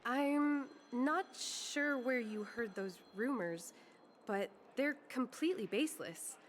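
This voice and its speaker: A woman's voice: on the high side, slow pace, a little hiss or hum. A woman voicing a polite, dutiful hotel security chief, formal and reassuring, in a video game. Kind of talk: video game dialogue acting.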